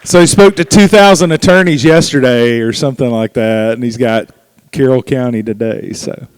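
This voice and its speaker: A man with a smooth, clear voice of middling pitch introduces a guest as event host. He talks informally and colloquially, and the sound is close and dry. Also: moderate pace, no echo, rough recording.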